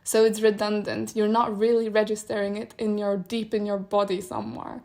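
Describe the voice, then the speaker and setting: A young woman whose first language is Polish, hosting a solo podcast and reflecting aloud in a thoughtful, meandering way with soft laughs. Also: mid-range voice, little pitch movement, moderate pace. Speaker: podcast host.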